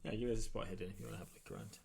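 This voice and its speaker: Male game master, lowish pitch, tabletop role-play narration, conversational, improvised, halting phrasing. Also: very animated, creaky, a little mellow.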